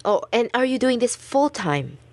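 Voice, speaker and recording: A woman's voice: on the high side, sing-song, normal speed. A woman hosting a radio interview in a studio, with a polished presenter delivery. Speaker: radio host.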